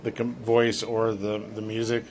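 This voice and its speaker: A man with a lowish voice and very little movement in pitch gives short, informal technical explanations. He sounds like a technician backing up a colleague. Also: completely flat delivery, a little slow, darkish tone, a little hiss or hum.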